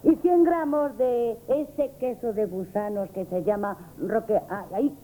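An actress playing a sassy, complaining shop customer in a radio comedy, with a Spanish accent. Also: female voice, a little high, a little slow, warm tone, natural voice. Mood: grumbling. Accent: Spain. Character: grumbling, sassy female grocery customer. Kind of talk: comic radio theatre acting. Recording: noisy old radio drama tape.

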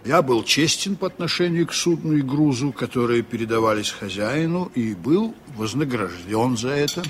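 An actor playing a seasoned, honest sea captain in a reflective, measured way, in a 1980s Soviet radio drama. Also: male voice, mid-range voice, lively intonation, close to an everyday voice. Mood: reflective, sincere. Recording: noisy old radio play recording.

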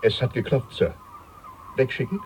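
A man plays a laconic manservant in a radio drama. He sounds deferential and deadpan, speaking from away from the microphone with clear noise around him. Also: mid-range voice, moderate pace, close to an everyday voice. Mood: deferential, dry. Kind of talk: German radio play acting. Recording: distant from mic, clear background noise.

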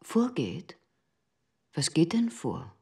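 A woman's voice: deep voice, wildly swooping pitch, slow pace, gravelly, mellow, breathy edge, heavily acted. An actress playing a guarded, stony female shopkeeper in a radio drama, answering tersely and impassively. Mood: wary. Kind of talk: German radio drama acting.

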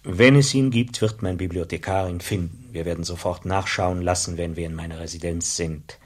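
A sceptical bishop of great authority in a radio drama. The older man speaks low and huskily, with a solemn, measured delivery. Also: steady tone. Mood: measured, gracious.

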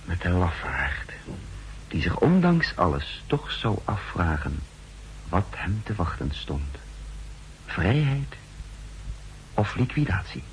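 An actor playing a wary, contemptuous secret agent who narrates his mission in a measured, literary way in a 1960s radio drama. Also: deep voice, big pitch swings, heavily acted. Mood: grim, measured. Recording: noisy 1960s radio recording.

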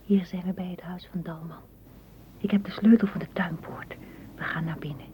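An actress in a radio play playing a dreamy, tender woman gardener, speaking softly and poetically, often in a whisper. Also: on the low side, steady tone, normal speed, close to an everyday voice. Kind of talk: radio drama acting. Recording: muffled, noisy old radio recording.

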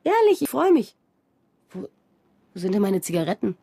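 A woman's voice: medium pitch, big pitch swings, moderate pace, vocal fry, dark tone, breathy edge, dry recording, heavily acted. An actress playing a restless, self-mocking young woman in a radio drama. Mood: wary.

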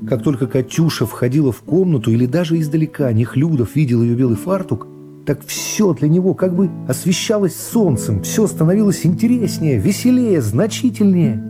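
A man narrating a literary radio play in a measured, expressive storytelling manner. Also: a little high. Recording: music behind the voice. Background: plucked guitar music.

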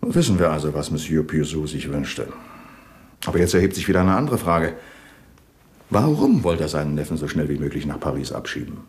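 An actor playing a shrewd, cynical police commissioner in a 1970s radio crime drama, dry, sardonic and probing. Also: male voice, extremely low pitch, expressive, a little fast, darkish tone, slight background noise. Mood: pondering, purposeful. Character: shrewd, cynical male police commissioner leading a murder case.

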